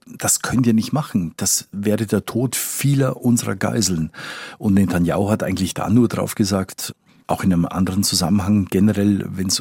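A man's voice: on the low side, darkish tone, husky, no room sound, studio quality. A man working as a correspondent, explaining things conversationally in an interview and repeating words for emphasis. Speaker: foreign correspondent.

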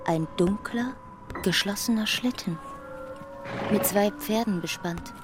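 Slow, higher-pitched female narrator over music. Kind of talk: atmospheric literary narration.

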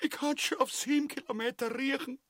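An actor playing a cowardly, greedy, foul-mouthed tyrant in a grotesque stage farce, with a Viennese accent and whiny, blustering delivery. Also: male voice, extremely high pitch, fairly even intonation, brisk, a bit bright. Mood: fearful whining. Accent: Viennese Austrian.

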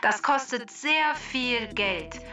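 Bright, sharp female talking database, voiced by a woman. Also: on the high side, lively intonation, recorded from a distance, soft background music, heavily acted. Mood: matter-of-fact, instructive. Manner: precise, instructive, measured. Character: clever female talking database that explains facts. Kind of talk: scripted explanatory narration for children.

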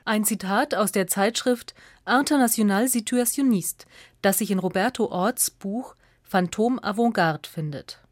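A woman narrates a scripted essay for radio in a medium-pitched voice. Her reading is measured and articulate, recorded in a very dry studio with little room sound. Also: dry recording.

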